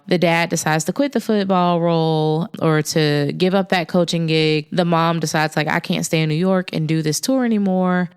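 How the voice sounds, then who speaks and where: Raspy-voiced woman podcast host. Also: on the low side. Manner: chatty, personal, self-deprecating. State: raspy voice after an illness. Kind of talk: casual solo podcast talk.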